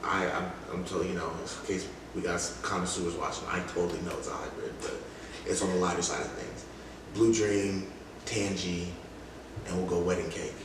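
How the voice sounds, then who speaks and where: A man's voice: low-pitched, moderate pace. A man being interviewed as a music artist, talking casually in slangy, emphatic stories. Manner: animated, emphatic, slangy storytelling. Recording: very echoey room, distant mic, faint noise.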